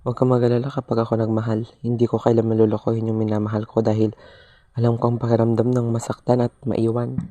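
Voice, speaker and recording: A young man playing a shy, insecure student who speaks softly and stammers, narrating his own story in a student radio drama. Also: mid-range voice, completely flat delivery, fast, dry recording, lightly acted. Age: young adult. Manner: soft-spoken, self-doubting, stammers. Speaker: narrator and lead character.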